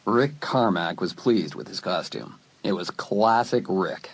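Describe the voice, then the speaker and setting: A man narrating a dramatised teen horror story in an expressive, dramatic storytelling manner. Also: sits low, a little slow, husky. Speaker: audiobook narrator.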